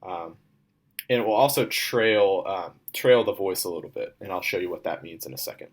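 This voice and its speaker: A young man, a student, walking through a demo of his software project in an informal, step-by-step way. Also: low-pitched, moderate pace. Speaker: student presenting a class project.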